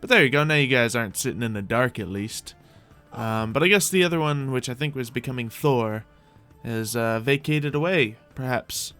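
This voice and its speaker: A man's voice: mid-range voice, moderate pace, bright, forceful tone, smooth, faint music behind. A man hosting a gaming video, giving playful, chatty roleplay commentary that rambles along and often addresses the game's creatures directly.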